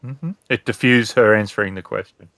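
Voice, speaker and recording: A man's voice: on the low side, a little fast, a bit bright, no echo. A man narrating a tabletop roleplaying game as the game master.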